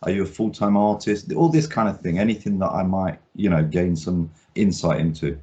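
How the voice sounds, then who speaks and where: Man, art critic host, casual rambling talk, lots of ums, very deep voice, muffled lo-fi sound. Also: moderate pace, dark tone. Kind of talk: casual video-call interview. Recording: dull lo-fi sound.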